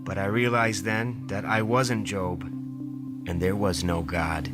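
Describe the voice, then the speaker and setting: A man's voice: low voice, steady tone, over loud music. An actor playing a desperate disgraced company boss, a man who halts and pleads, in an audio drama. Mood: despairing. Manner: halting, stammering, pleading.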